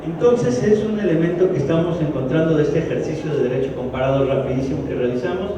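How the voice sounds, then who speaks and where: A man's voice: on the high side, fast, background noise. A man with a Mexico City accent giving a conversational public lecture, with joking asides and frequent restarts. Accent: Mexico City Spanish. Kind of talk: public lecture to a live audience. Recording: echoey hall from far off, dull. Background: audience in a large hall.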